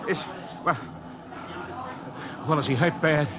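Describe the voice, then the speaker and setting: An actor playing a panicky, defensive car driver in a 1940s radio drama, pleading and repeating himself. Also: male voice, fairly high pitch, fairly even intonation, takes it slowly, noisy background. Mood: panicked. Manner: repetitive, pleading. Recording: old radio drama, busy street crowd.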